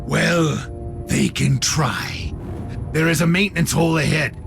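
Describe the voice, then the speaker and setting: A grim video game warrior, eager for battle. His slightly high voice is heavily gravelly and dryly confident, over prominent music. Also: a little slow. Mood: grimly confident. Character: grim, battle-hungry male warrior ally. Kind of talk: dramatic video game voice acting.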